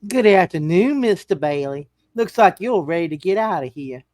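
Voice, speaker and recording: Woman playing a coy, lively small-town flirt. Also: on the low side, normal speed, no room sound, studio quality, close to an everyday voice. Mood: flirty. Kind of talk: live comedic radio play reading.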